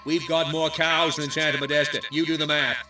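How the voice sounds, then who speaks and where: Man playing glib American small-town mayor, highish, slightly distant, pompous, shifty showman, video game speech. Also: level tone, a little fast, natural voice. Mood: glib, evasive. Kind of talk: comic video game cutscene acting. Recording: distant microphone, music behind.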